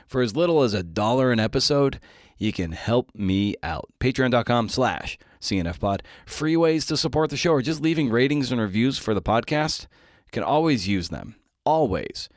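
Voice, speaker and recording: A man's voice: medium pitch, animated, moderate pace, no room sound, clean studio sound. A man speaking as a podcast host, introducing and interviewing a guest.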